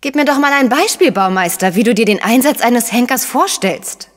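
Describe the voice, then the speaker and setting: Female actor for crime radio play: sceptical, teasing investigator, highish voice, very animated pitch, reverberant sound. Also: moderate pace, close to an everyday voice. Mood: sardonic. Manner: questioning, teasing, pointed. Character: sharp, sceptical, sardonic female investigator partner.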